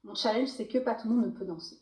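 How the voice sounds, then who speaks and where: A woman's voice: a little high, fast talker. French woman telling stories, echoey room. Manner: animated storytelling with many fillers and restarts. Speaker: guest. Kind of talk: casual podcast interview.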